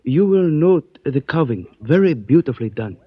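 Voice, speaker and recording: An actor playing a suave male antique dealer who speaks in stilted, formal phrases, measured and evasive, in 1940s radio style. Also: on the high side, big pitch swings, breathy edge, no echo. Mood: cryptic, courteous. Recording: dull dry old radio recording.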